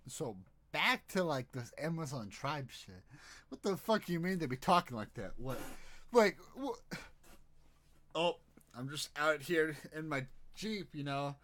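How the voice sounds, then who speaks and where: Man, game streamer, crude jokes, fairly high voice, lively pitch. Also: a little slow. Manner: crude, joking. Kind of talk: let's play commentary.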